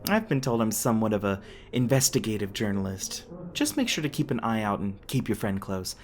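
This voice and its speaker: Man voicing a soft-spoken, quirky female hotel clerk. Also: on the low side, expressive, brisk, a little hiss or hum, lightly acted. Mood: mild, polite. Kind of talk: ASMR audio roleplay acting.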